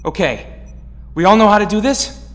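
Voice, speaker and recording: A man's voice: high-pitched, normal speed, close to an everyday voice. An actor playing a brash, boisterous young male superhero leader in an audio drama. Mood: assured. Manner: boisterous, hammy battle calls. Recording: distant, under loud music.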